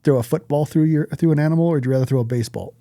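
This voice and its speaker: A man's voice: a little high, rapid-fire, mellow, no room sound. A man hosting a podcast in a casual, conversational style, speaking bluntly and swearing freely. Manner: blunt, profane. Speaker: podcast host. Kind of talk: casual chat.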